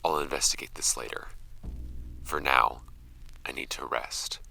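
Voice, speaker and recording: An actor playing a shaken paranormal investigator recounting a grim field log in a horror audio drama, grave and deliberate, his gravelly voice often fading to breath. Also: fairly even intonation, pushed and bright, slight background noise, full character voice. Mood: weary, uneasy. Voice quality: very low, gravelly, often breathy at phrase ends. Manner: slow-burning, grave, deliberate.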